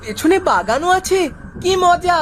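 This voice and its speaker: Woman voicing an eager, high-pitched little boy. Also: very animated, a little slow, soft background music, full character voice. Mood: delighted. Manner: eager, wide-eyed, childlike. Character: excitable, innocent, curious little village newcomer boy. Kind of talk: children's animated cartoon dialogue.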